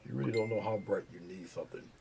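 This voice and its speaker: Quiet low-voiced man, gravelly, on noisy webcam audio. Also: quick pace. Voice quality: low, quiet voice that does not project. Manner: soft-spoken, mumbling asides while working. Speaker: painting livestream host. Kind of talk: casual live painting tutorial. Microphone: camera's built-in mic, not the lapel mic.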